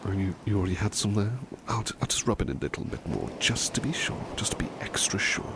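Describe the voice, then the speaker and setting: A man playing a devoted, polite butler in a soft-spoken ASMR roleplay, gentle and soothing, often dropping into a murmur or whisper. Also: on the low side, animated, fast talker, gravelly, noisy background. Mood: soothing, caring. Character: devoted, tender, polite male butler servant.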